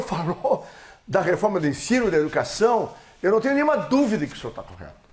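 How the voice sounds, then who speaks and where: Emphatic Brazilian man in an echoey hall. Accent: Brazilian Portuguese. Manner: emphatic, swelling and dropping in pitch. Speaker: seminar speaker. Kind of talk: political seminar speech with slides. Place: lecture hall.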